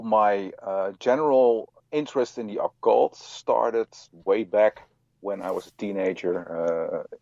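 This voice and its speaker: A man's voice: on the low side, gravelly, warm tone, no room sound, highs cut off. A man from the Netherlands with a Dutch accent, a guest author answering interview questions hesitantly with many fillers. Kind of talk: interview over a phone line.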